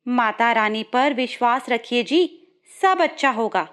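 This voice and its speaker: A woman's voice: a little high, expressive, measured pace, a bit bright. A woman voicing a devoted, caring young village wife in a story. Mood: reassuring. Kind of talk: story dialogue.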